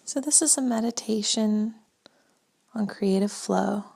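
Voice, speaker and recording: A woman leading a guided meditation in a gentle, spaced-out way, her soft breathy voice often trailing toward a whisper. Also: medium pitch. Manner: slow, gentle, spaced-out phrasing with long pauses.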